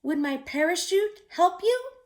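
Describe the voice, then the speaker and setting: Woman as a very high chirpy little bird. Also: animated, measured pace. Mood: timid, helpful. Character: small chirping bird afraid of heights, timid and helpful.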